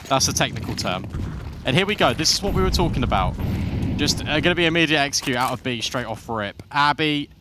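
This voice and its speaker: A man doing live play-by-play commentary on an esports match, excitable and quick to build with the action. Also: mid-range voice, background noise. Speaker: esports caster.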